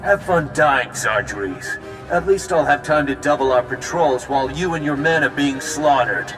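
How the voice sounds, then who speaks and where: A man's voice: medium pitch, normal speed, over music, close to an everyday voice, poor audio. An actor playing a smug, treacherous male turncoat captain in a video game, mocking and offhand.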